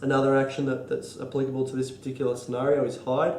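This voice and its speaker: An Australian man with a cold and a stuffy nose, explaining board game rules in a halting, casual way full of ums and restarts. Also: medium pitch, level tone, a little mellow, echoey room, slight background noise. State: congested from a cold. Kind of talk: casual tabletop rules walkthrough.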